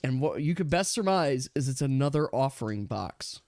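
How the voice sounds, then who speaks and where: A man's voice: mid-range voice, lively intonation, moderate pace, darkish tone, no room sound. A man narrating casually as game master on a livestream, close on the mic. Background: music.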